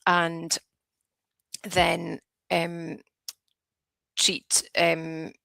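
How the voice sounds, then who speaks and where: A Scottish woman in her thirties talks through an online teaching session in a low, even voice with a gravelly fry at the edges. Her tone is bright and forceful, and she explains things plainly with a touch of self-mockery. The sound is close and dry. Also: drawn-out words, no room sound, poor audio. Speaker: advanced nurse practitioner, guest speaker.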